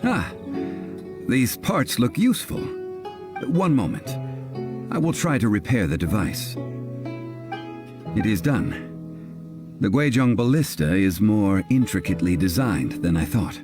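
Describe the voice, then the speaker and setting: A scholarly, composed gentleman in a video game. His voice is deep and moves expressively through formal, measured sentences, with faint music behind him. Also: very animated. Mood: calm, pleased. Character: composed, erudite, courteous gentleman of ancient knowledge.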